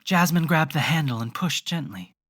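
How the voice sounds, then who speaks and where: A narrator of uncertain sex telling a horror audio drama in scripted, deliberate storytelling phrases. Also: medium pitch, lively intonation, fairly bright tone, no echo.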